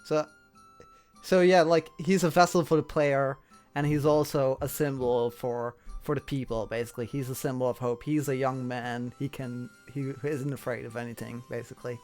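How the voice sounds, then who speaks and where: A man's voice: medium pitch, fairly even intonation, moderate pace, a little mellow, faint music behind. A man chatting casually as an interviewer, explaining things in a rambling way and often repeating himself. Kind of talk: casual chat.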